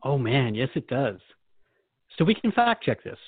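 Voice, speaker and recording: A man's voice: medium pitch, very animated, normal speed, husky, dry recording, phone-quality sound. A man playing a curious, deferential interviewer, speaking in a polite, slightly hesitant conversational way in a scripted podcast. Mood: agreeable. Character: Jeff, curious, deferential male human interviewer.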